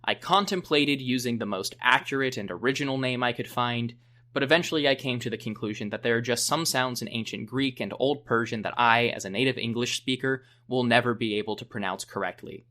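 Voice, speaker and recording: A young man hosting a history podcast, narrating a script in a measured, explanatory way. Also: mid-range voice, sharp tone. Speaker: podcast host.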